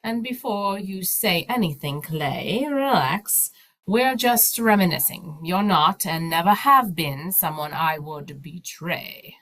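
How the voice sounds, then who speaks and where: A woman acts a bubbly, sociable wife in an audio play. She gushes perkily, with a very lively rise and fall in pitch. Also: takes it slowly, exaggerated voice. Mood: fond. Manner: gushing, perky. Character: May, bubbly sociable married lady club member.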